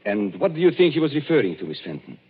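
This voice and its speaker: An actor playing a shrewd gentleman detective, unmasking a killer in 1940s radio-drama style, measured and commanding. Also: medium pitch, sing-song, slight background noise, close to an everyday voice. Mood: calm, triumphant. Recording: muffled 1940s radio broadcast.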